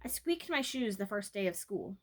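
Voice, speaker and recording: A woman's voice: medium pitch, sing-song, moderate pace, a bit bright. A woman voicing an earnest, worried eight-year-old girl in a storybook, with a questioning manner.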